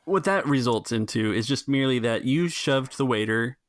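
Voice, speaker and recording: A man's voice: mid-range voice, moderate pace, noisy background. A man hosting and narrating a tabletop roleplaying game as game master in a casual style. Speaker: game master and podcast host.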